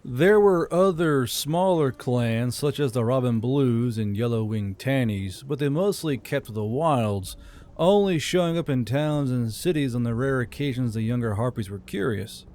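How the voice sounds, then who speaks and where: A man's voice: medium pitch, animated, moderate pace, darkish tone. A man narrating a fantasy story as an audiobook, as the host of his own channel. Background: background music.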